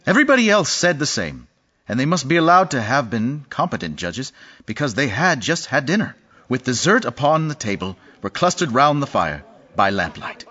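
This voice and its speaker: A man's voice: medium pitch, sing-song, moderate pace, sharp tone. A man narrating a dramatised story in a wry, theatrical manner. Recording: dull audio with music behind.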